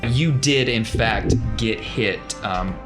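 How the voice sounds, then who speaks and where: A man's voice: lowish voice, slow, loud music behind the voice. A man working as game master, narrating and refereeing a tabletop game in a casual, encouraging way.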